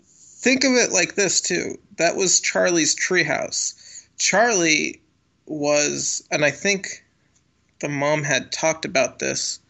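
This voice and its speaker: A man's voice: fairly high pitch, slow, vocal fry, sharp tone. A man co-hosting a casual analytical chat, thinking aloud and often seeking agreement. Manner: thinking aloud, checking with "right?". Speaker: podcast co-host.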